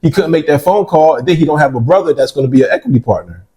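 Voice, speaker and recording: Interview guest, man in his forties, warm low-key delivery, storyteller. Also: very fast. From United States. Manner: relaxed storytelling with frequent "right?" asides. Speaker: interview guest, real estate developer. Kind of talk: casual interview.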